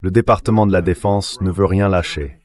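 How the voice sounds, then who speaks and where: A man's voice: sits low, steady tone, fairly quick, darkish tone, close to an everyday voice. A man's synthetic dubbing voice, speaking as a guest giving translated interview commentary.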